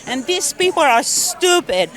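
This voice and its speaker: Older Israeli-born woman on the street, high voice, loud and indignant. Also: very animated, measured pace. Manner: indignant, outspoken. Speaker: passerby interviewed at protest. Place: outdoors on a city street.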